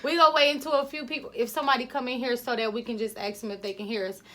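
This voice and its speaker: Woman podcast co-host, loud, chatty, rambling, pitched a bit high. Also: steady tone, rapid-fire, slight background noise. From Ohio, US. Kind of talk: casual podcast chat.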